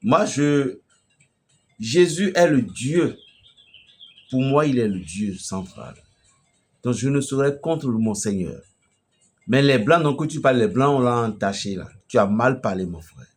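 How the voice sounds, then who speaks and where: A man from Benin with a Beninese French accent, preaching an emphatic, storytelling message to a fellow pastor. His voice swells loudly at the climaxes. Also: medium pitch, animated, measured pace, long pauses, slightly rough, faint music behind. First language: a local Beninese language.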